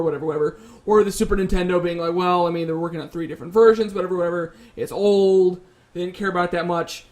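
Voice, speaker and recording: A man's voice: high voice, a little mellow. A man hosting a casual debate about video games, arguing his points in a rambling, opinionated way. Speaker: host of a debate show.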